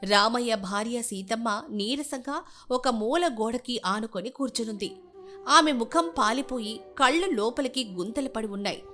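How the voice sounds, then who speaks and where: High-pitched woman narrating a story. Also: normal speed, fairly bright tone, faint music behind. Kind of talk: scripted dramatic narration.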